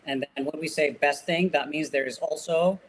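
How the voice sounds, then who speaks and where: A man's voice: a little high, steady tone, normal speed, poor audio. A man putting questions in a casual interview. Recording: faint background noise.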